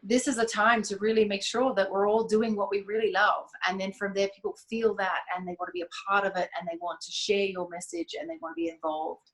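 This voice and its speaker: Aussie woman talking about her work in an interview, calm and thoughtful, trips over a word now and then. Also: medium pitch, low-quality recording. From Australia. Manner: measured and reflective, with occasional restarts. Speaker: guest, event company founder. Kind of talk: interview answers.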